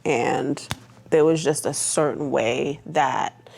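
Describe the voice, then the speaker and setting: A woman's voice: low-pitched, fairly even intonation, takes it slowly, gravelly, a little mellow, husky. A woman from Trinidad and Tobago speaking candidly and conversationally about herself in a reflective documentary interview. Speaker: aesthetician and entrepreneur. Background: background music.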